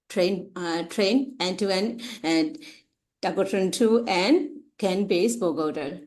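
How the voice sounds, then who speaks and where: Halting Burmese-accented woman, lowish voice. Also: a little mellow. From Myanmar. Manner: halting, hesitant delivery with frequent uhs. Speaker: conference presenter, researcher. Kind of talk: academic presentation.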